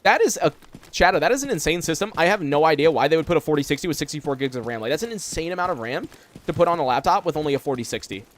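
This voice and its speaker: A man's voice: a little high, lively intonation, very fast. A young man streaming a game, talking casually and rambling with lots of fillers as he plays and answers chat from a room at home. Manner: rambling, filler-heavy, chatty. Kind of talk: casual live gameplay chat.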